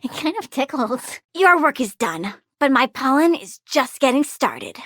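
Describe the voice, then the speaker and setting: A woman's voice: high-pitched, very animated, unhurried, fairly bright tone, dry recording, clean studio sound, full character voice. A woman playing a bratty, morbid young girl plant villainess in a video game, with a childlike, sassy manner. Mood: gleeful, eager. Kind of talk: video game character voice acting.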